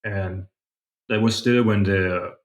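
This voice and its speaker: A man's voice: low voice, steady tone, slow, roomy sound. A man from southern Germany, giving casual, reflective answers as a podcast guest. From southern Germany, partly grew up in China. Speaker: podcast guest, startup founder.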